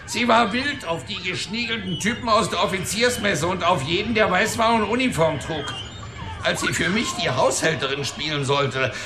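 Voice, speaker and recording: A man's voice: high-pitched, echoey room. An actor playing a cranky, cocky old man, a wisecracking and belligerent mechanic, in a German radio drama. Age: older. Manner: wisecracking, belligerent, long-winded.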